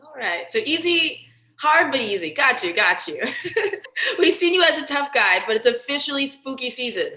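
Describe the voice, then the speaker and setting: A woman's voice: sits high, very animated, moderate pace, bright, forceful tone. A woman doing a casual, chatty entertainment interview, using informal, slangy phrasing. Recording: roomy, echoing sound.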